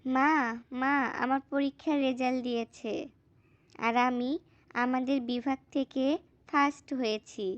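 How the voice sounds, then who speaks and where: Earnest schoolgirl daughter voiced by a woman, high voice, determined and affectionate, cartoon drama, muffled recording. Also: steady tone, unhurried, pauses often, dry recording. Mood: joyful. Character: devoted, determined young student daughter, affectionate and reassuring. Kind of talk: acted cartoon dialogue.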